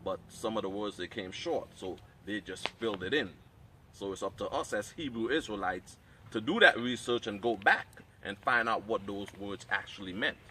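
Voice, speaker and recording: A man teaching a Hebrew class, explaining in a measured way and reading scripture aloud. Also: lowish voice, pushed and bright, slight background noise. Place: outdoor training camp.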